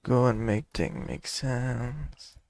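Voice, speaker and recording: Slow-talking man doing casual gameplay commentary. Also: mid-range voice, lively intonation, vocal fry, a little mellow, dry recording. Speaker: gamer playing and reacting.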